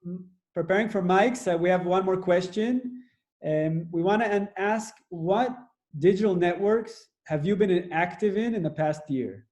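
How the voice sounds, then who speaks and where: Moderator: man, highish warm voice, halting delivery, video call. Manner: halting, many fillers while introducing. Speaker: webinar moderator. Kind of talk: moderating an online webinar.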